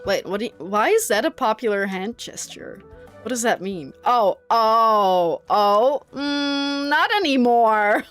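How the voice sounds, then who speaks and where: A woman's voice: on the high side, slow pace, a bit bright, faint music behind. A young woman from the Netherlands, a native Dutch speaker, chatting casually and rambling with many fillers while streaming a game, often breaking into giggles. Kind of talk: casual chat while gaming.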